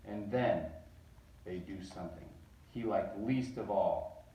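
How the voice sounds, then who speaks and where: A man reading a picture book aloud, animated and rising on repeated words. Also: low voice, takes it slowly, highs cut off. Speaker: reader. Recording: roomy echo, distant mic.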